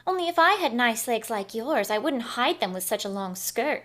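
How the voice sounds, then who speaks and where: A woman's voice: a little high, animated, normal speed, a bit bright. A woman playing a cheeky, man-crazy housemaid in a 1950s-style radio comedy recreation. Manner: cheeky, flirtatious. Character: saucy gossiping housemaid.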